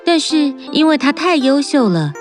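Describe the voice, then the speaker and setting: A woman narrating a children's fairy-tale cartoon in a clear storybook manner. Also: high voice, sing-song, moderate pace. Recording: narration over prominent music.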